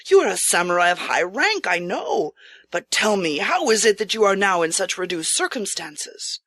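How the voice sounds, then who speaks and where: Disguised nobleman as wandering priest, audiobook female reader: earnest, courteous, bright voice, dry recording. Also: mid-range voice, big pitch swings, normal speed, dry recording. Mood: curious. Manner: earnest, kindly, formal old-fashioned speech. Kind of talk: audiobook character acting.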